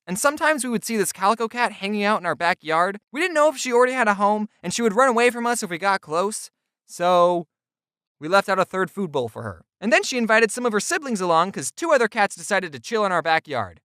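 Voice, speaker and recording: A narrator telling scripted comedic personal stories in a playful, self-deprecating way, with deadpan asides. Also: medium pitch, no room sound, studio quality. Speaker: storyteller narrator.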